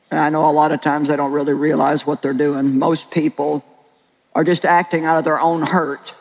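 Older woman with a very deep voice. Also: a little mellow, long reverb tail, poor audio. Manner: earnest, plain-spoken, with slow deliberate pauses. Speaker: preacher. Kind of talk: sermon with personal testimony. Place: large hall with an audience.

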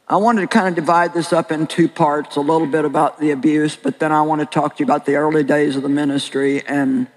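An older woman with a very deep voice preaching a sermon and sharing her own story in an earnest, plain-spoken way with slow, deliberate pauses. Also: a little mellow, in a large hall, rough recording. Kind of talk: sermon with personal testimony. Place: large hall with an audience.

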